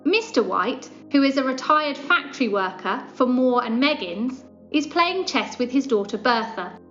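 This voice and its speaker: A woman with a high voice reads scripted radio play narration. The sound is slightly dull and lo-fi, with music faintly behind her. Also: animated, moderate pace, fairly bright tone.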